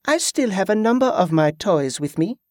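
Guy doing a teasing wife voice, pitched way up, playful, dry close mic. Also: expressive, moderate pace, darkish tone, dry recording. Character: wry, clever adult woman, a thief.

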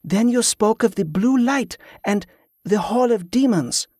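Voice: medium pitch, expressive, measured pace, a little mellow, dry recording, clean studio sound, voice pushed up. A narrator voicing a loyal, earnest wife who protects her husband, in an audiobook.

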